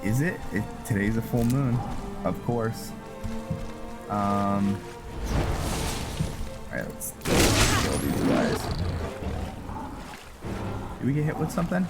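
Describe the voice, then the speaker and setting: Low-voiced unhurried male gamer narrating play, game music and rain behind him. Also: creaky. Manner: relaxed, musing aloud with frequent ums. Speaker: gamer playing a roleplay let's play. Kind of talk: casual gameplay commentary. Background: game music and rain sounds.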